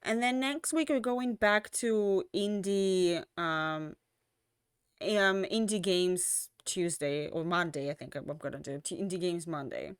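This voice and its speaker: A woman's voice: on the low side, unhurried, smooth, no room sound, clean studio sound. Tired woman streamer with a fading voice. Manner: rambling, many fillers and restarts. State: losing her voice. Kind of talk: casual end-of-stream chat.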